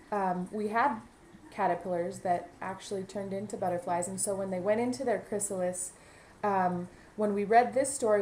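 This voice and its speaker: A woman's voice: medium pitch, darkish tone, slight background noise, poor audio. A woman, a kindergarten teacher, reading a children's story aloud and adding teaching asides.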